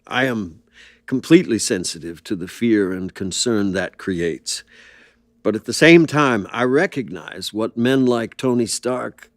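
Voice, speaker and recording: Low-voiced, statesmanlike male president. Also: expressive, no room sound. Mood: grave, sympathetic. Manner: deliberate, diplomatic, grave. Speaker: politician being interviewed. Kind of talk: formal political interview.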